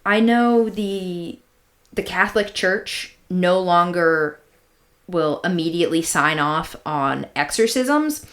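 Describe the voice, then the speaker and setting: A woman's voice: on the low side, lively intonation, takes it slowly, fairly bright tone. A woman co-hosting a podcast, chatting and telling stories in an informal, slangy way with emphatic repetition. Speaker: podcast co-host. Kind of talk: casual chat, storytelling.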